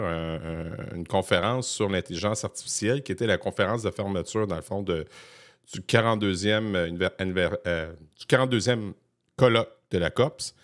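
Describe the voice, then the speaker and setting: A man hosting an interview, asking questions in a hesitant, encouraging way. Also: on the low side. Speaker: podcast host. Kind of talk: conversational interview.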